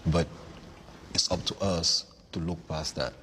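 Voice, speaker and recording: Very deep, flat-voiced African man. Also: a little hiss or hum. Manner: measured, hesitant, many fillers. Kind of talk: casual talk.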